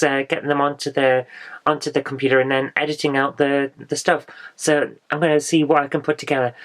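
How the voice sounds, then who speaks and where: A woman with a congested, bunged-up voice from illness, chatting rambly in a casual home vlog with frequent fillers and restarts. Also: low voice. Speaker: vlogger. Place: small warm home office, indoors.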